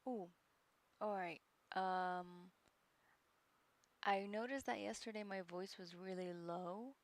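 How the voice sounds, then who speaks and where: Slow, gravelly low-voiced woman streamer. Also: fairly even intonation, no room sound. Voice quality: low speaking voice. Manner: hesitant, many fillers, muttered asides. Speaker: livestreamer studying a course. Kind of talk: casual live-stream chat.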